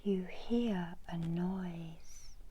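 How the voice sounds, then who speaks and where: A middle-aged woman whispering a guided meditation in ASMR style, breathy and hushed, with long soothing pauses. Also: lowish voice. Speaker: meditation guide and Reiki healer. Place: small indoor room.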